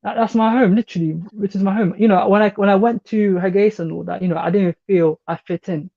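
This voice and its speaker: A man's voice: sits high, steady tone, quick pace, vocal fry. A man calling in to an online discussion, hesitant and full of restarts. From Britain. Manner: hesitant, many restarts and fillers. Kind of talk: casual chat. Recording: dull lo-fi online call audio.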